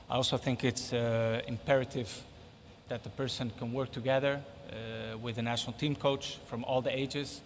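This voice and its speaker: A middle-aged man whose first language is Spanish, giving thoughtful, measured interview answers and repeating points for emphasis. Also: medium pitch, level tone, big-room sound, a little hiss or hum. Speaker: interviewee, football technical advisor.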